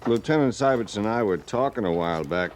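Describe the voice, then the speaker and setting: An actor as a deferential junior cavalry officer in a vintage radio western. His fairly low voice moves around animatedly yet sounds hesitant, with faint noise behind it. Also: animated, brisk, close to an everyday voice. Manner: hesitant, respectful. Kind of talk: old-time radio western acting.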